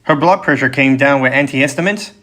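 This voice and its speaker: A male narrator plays a confident field biologist in an audiobook. He explains precisely and with assurance in a natural, medium-pitched voice. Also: fairly quick, pushed and bright, close to an everyday voice. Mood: assured.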